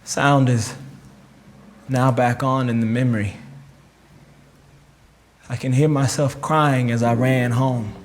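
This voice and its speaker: An actor playing a wounded, reflective man recounting a painful childhood memory in a theatrical memoir monologue, with deliberate pauses. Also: mid-range voice, fairly even intonation, natural voice. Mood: hurt, remembering. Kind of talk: filmed dramatic monologue reading, first-person memoir.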